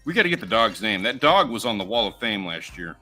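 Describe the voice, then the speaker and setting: An American man co-hosting a livestream, chatting in a loose, easygoing way. His voice is bright and forward. Faint music plays under him. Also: lowish voice, big pitch swings, quick pace. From United States. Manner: laid-back, chatty, reads viewer names and runs polls. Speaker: livestream co-host. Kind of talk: casual livestream chat.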